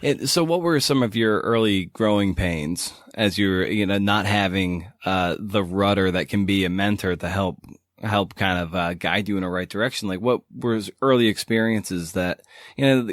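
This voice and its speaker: A man's voice: sits low, normal speed, dry recording, clean studio sound. A man hosting a casual podcast interview, asking rambling, conversational questions. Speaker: podcast host.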